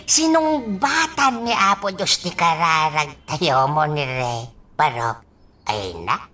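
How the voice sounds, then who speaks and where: A woman playing an excitable, teasing village elder in an Ilocano radio drama, with folksy exclamations and a swooping delivery. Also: deep voice, slow pace, over music. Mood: teasing, amazed.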